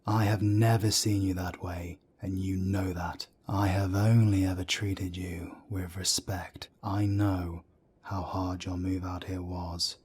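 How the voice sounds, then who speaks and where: A man playing a calm, firm older werewolf councillor and mentor in an audio drama, with a soft, breathy rasp. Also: low-pitched, a little hiss or hum. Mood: calm, reassuring. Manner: measured, firm, reassuring. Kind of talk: scripted roleplay audio drama.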